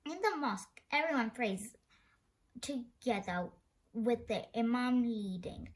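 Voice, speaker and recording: A woman reads a children's story aloud in an expressive way. Her voice sits a little high and moves up and down a great deal. She occasionally trips on a word and carries on. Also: slow pace. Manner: expressive, with stumbles over words. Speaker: storybook reader.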